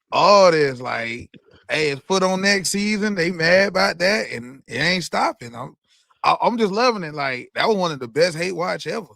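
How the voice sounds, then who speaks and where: A man's voice: on the high side, vocal fry, a bit bright, dry recording, studio quality. A man guesting on a sports podcast, talking in slangy banter and laughing often. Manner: slangy, laughs a lot, says "you know what I'm saying". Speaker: guest host of another show.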